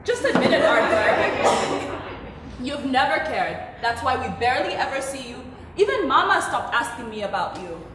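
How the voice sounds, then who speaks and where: A woman's voice: high voice, sing-song, moderate pace. An indignant, dramatic adult daughter in a stage play. Her voice swings widely and emphatically in sarcastic exasperation. It is very echoey and heard from a distance in a theatre. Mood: accusing. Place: indoor theatre stage, recorded from far off. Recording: echoey theatre, distant mic, faint noise.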